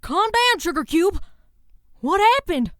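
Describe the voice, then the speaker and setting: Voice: very high-pitched, very animated, slow pace, fairly bright tone, no echo, studio quality, pitched above the performer's own voice, heavily acted. A performer voicing a caring grown farm mare with a Southern US accent, soothing and folksy, in a story reading. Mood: calming.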